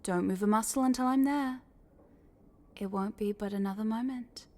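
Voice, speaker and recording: A woman's voice: on the high side, normal speed, darkish tone, lightly acted. Smug, teasing corporate boss lady, acted by a woman. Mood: pleased, possessive. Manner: teasing, condescending, drops into breathy whispers. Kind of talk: scripted audio roleplay spoken to the listener.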